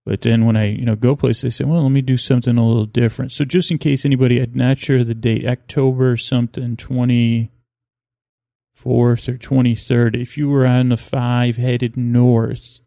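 A man hosting a sleep podcast in a meandering, halting bedtime monologue, repeating himself and trailing off, with a gravelly voice, recorded in a hotel room. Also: medium pitch, level tone, warm tone, no echo, clean studio sound. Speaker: podcast host. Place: hotel room with freeway outside.

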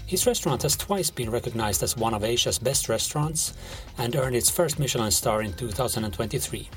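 Male podcast host, medium pitch, music behind. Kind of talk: scripted podcast introduction and interview questions.

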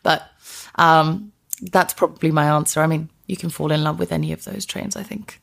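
A woman's voice: deep voice, fairly even intonation, moderate pace, vocal fry, fairly bright tone. A woman from Iceland, a transport historian, speaking English as an enthusiastic, reflective guest in a podcast interview.

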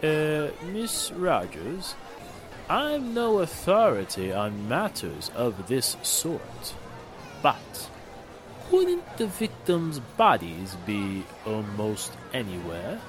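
A man's voice: mid-range voice, huge pitch swings. An actor playing a grave, formal older businessman in a mournful 1940s radio drama style. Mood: hesitant, polite. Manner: measured, formal, deliberate. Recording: music and crowd murmur behind the voice.

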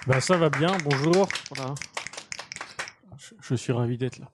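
A man's voice: medium pitch, a little slow, noisy background. A man appearing as a podcast guest, stammering a little as he starts. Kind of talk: casual podcast chat.

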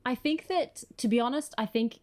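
A woman's voice: on the high side, moderate pace, darkish tone. A woman with an Australian accent, chatting casually and good-humouredly as a guest in a podcast conversation. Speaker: guest, screenwriter and actor.

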